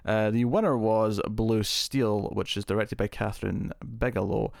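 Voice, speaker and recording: A man's voice: fairly low pitch, fairly even intonation, normal speed, vocal fry, darkish tone, no room sound, studio quality. A man hosting a casual film podcast, talking in a chatty way, laughing often and restarting words. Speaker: podcast host. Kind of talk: casual chat.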